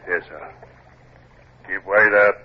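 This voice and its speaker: An actor playing a dutiful, steady naval coxswain, giving brief respectful replies in an old radio adventure drama. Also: male voice, low voice, takes it slowly. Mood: alert, hushed. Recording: muffled, noisy vintage radio recording.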